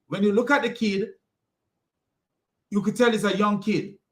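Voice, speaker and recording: A man's voice: high-pitched, fairly even intonation, moderate pace, a bit bright. A Jamaican man ranting in an online commentary video, mocking and scornful, rambling with many restarts. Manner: mocking and scornful, with repeated insults. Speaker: religious commentary video host.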